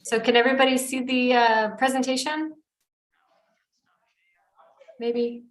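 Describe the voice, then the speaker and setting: Echoey, higher-voiced woman on video call. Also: level tone, measured pace. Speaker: remote guest presenter. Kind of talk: casual, polite talk on a video call.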